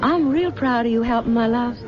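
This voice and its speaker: A woman's voice: fairly high pitch, fairly quick, natural voice. A woman playing a cooing, possessive society mother. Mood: doting. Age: middle-aged. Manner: honeyed, cooing, theatrical. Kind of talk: 1970s radio drama acting. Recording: dull 1970s radio recording with music.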